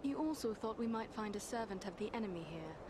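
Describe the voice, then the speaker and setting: An actress playing a composed, alert heroine in a fantasy game, speaking formally. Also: female voice, mid-range voice, lively intonation, quick pace, background noise, close to an everyday voice. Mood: grave. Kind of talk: scripted fantasy game dialogue.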